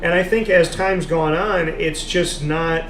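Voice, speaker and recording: A man's voice: on the high side, fairly even intonation, unhurried, a bit bright. A man with a slightly raised voice talks sports with insistent, repetitive emphasis. He is recorded live in a café, so the sound carries a roomy echo and faint chatter underneath. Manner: emphatic, repeats points for stress. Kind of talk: live casual sports discussion. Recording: echoey café with background noise.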